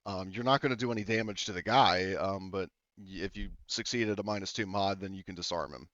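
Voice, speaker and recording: Low-voiced man running a tabletop game. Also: brisk, no echo, lo-fi sound. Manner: relaxed, thinking aloud with many ums. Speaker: game master.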